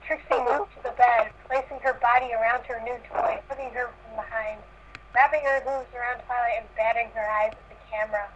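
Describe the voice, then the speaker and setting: A woman's voice: a little high, steady tone, a little fast, a little hiss or hum. A woman reading fan fiction aloud in an amateur group, breaking off now and then to comment. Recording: muffled voice-chat audio.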